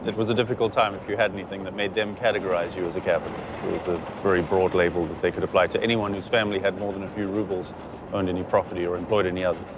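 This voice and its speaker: Man playing a formal Russian émigré, fast, low voice, train noise. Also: fairly even intonation, close to an everyday voice. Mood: polite. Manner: courteous, formal. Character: polite Russian émigré man, courteous and reserved. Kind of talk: period film drama.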